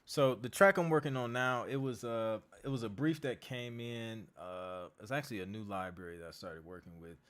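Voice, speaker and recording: A man who is losing his voice, hoarse and clearing his throat, hosting a casual live Q&A as a music producer and chatting in a laid-back, slangy way. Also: medium pitch, normal speed, hardly any pauses, a bit bright. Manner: laid-back, slangy, reads out viewer comments. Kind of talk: casual livestream chat.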